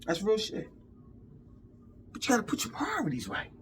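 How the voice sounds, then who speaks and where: A man's voice: sits high, wildly swooping pitch, moderate pace, breathy edge. A man in his fifties giving an emphatic, profane, preachy rant to camera in street talk. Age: 50s. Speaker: vlogger.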